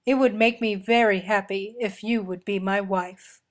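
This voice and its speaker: A woman voicing a kind, regal shape-shifting snake king in a storybook, calm and formal. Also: medium pitch, normal speed, close to an everyday voice. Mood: kindly solemn.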